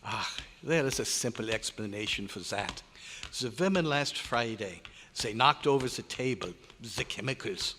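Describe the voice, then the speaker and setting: A man's voice: medium pitch, a bit bright, a little hiss or hum. Man playing irritable doctor, echoey hall. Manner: exasperated, emphatic, theatrical. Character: irritable, domineering German male scientist, a doctor. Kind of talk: old-time radio drama recreation. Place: hotel convention meeting room. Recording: echoey hall, live stage performance.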